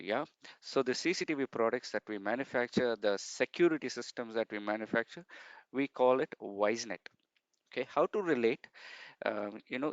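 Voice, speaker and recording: A man running an online sales training session, explaining step by step and often pausing with fillers and checks like "okay". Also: mid-range voice, smooth, dry recording. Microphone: video call. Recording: dull, dry video call audio.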